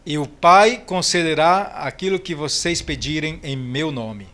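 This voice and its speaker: A man from northeastern Brazil with a Brazilian accent, interpreting a sermon with quick, fluent phrasing and small restarts. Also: a little high, pushed and bright. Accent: Brazilian Portuguese. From Ceará, Brazil. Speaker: missionary interpreter.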